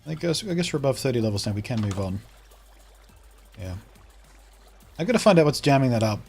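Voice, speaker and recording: A man's voice: medium pitch, sing-song, fairly quick, darkish tone, faint music behind. A man with an Australian accent streaming a game from home, chatting casually and answering viewers' comments as he plays. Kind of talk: casual live-stream chat while playing.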